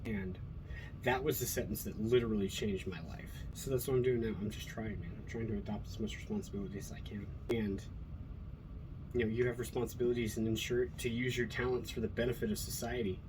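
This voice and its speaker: American man, middle-aged, lower register. Earnest, blunt, off-the-cuff solo talk with profanity; clearly noisy recording. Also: fairly even intonation, brisk. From Colorado, USA. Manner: earnest, blunt, swearing freely. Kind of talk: casual rambling monologue. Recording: noticeable background noise.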